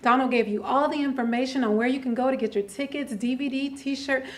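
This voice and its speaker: A woman's voice: high voice, fairly even intonation, brisk. A woman hosting a promotional interview, speaking with encouragement and enthusiasm and echoing her guest. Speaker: interviewer, host.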